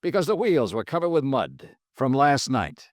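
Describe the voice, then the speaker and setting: A man performs a dogged American private investigator from 1950s radio noir. His medium-pitched voice moves freely up and down as he fires short, probing questions or narrates wryly. The sound is close and dry. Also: sing-song, normal speed, no room sound, close to an everyday voice. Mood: wry narration. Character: dogged, wry male insurance investigator, hard-boiled. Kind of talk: 1950s radio detective drama acting with first-person narration.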